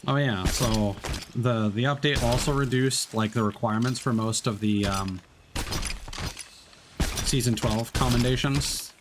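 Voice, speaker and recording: A man's voice: medium pitch, a little slow, background noise. A man streaming a game, talking casually with lots of fillers and restarts. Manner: rambling, many fillers and restarts. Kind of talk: casual gameplay commentary.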